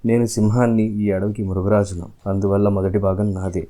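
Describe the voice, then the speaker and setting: A man plays a bullying lion, king of the forest, in a storybook fable. The voice is very dark and fairly low, with grave, self-important declarations. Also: flat delivery, normal speed, close to an everyday voice. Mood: boastful.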